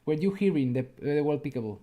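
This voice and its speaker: A man with a Spanish accent co-hosting an interview show, asking questions in halting English and repeating them. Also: on the high side, animated, dark tone. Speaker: podcast co-host asking questions.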